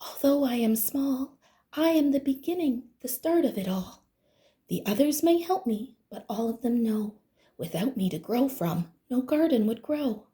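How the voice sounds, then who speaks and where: A woman's voice: a little high, lively intonation, unhurried. Woman voicing a tiny whispery storybook seed. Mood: hushed, proud. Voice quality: whispery, soft. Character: tiny, hushed, humble yet proud garden seed.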